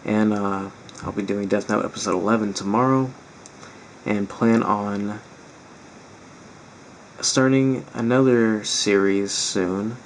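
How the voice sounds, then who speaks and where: A man talks casually to his viewers as a reaction host, rambling informally. His voice is strained and raspy, on the low side with a lot of creak, over slight noise. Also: steady tone, slow, long pauses, rough recording. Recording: faint background noise.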